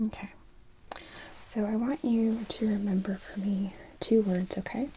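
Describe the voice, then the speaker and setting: A woman in her thirties speaking in a soft, breathy whisper, giving calm, gentle instructions as a medical technician in an ASMR roleplay, recorded close. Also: medium pitch, measured pace, a little hiss or hum, rough recording. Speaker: ASMR performer playing an EEG technician. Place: small indoor room dressed as hospital bay.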